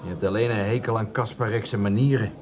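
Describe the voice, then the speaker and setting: A man acting in a radio play as an excitable, hard-up petty crook who repeats his words. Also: on the low side, fairly quick, crowd noise, close to an everyday voice. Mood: defensive.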